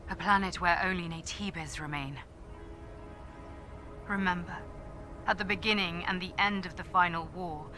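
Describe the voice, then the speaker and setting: An actress playing a soldier reading her final recorded log in a grave, measured way. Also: lowish voice. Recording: recorded log over game music.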